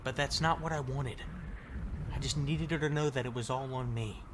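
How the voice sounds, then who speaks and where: A man's voice: medium pitch, normal speed, background noise, lightly acted. A man playing a bitter, regretful survivor in a zombie audio drama, sarcastic and slangy but caring underneath. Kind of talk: audio drama acting, casual profane dialogue.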